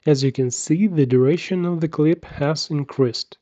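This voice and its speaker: A man narrating a scripted software tutorial, giving measured step-by-step instructions. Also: a little high, no room sound, dull audio.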